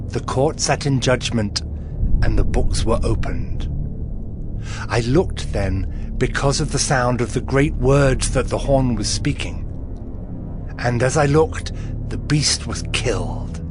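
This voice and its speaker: Slow, solemn prophet Daniel over music. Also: male voice, medium pitch. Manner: solemn, deliberate storytelling. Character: awestruck prophet Daniel recounting terrifying night visions. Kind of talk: dramatized scripture reading. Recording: dramatic music bed behind the voice.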